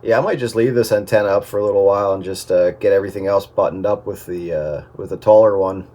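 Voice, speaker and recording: A man's voice: lowish voice, quick pace. A man chatting casually as a radio operator over a two-way radio with some noise. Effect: two-way radio transmission. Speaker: amateur radio operator in a group check-in. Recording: noisy two-way radio audio.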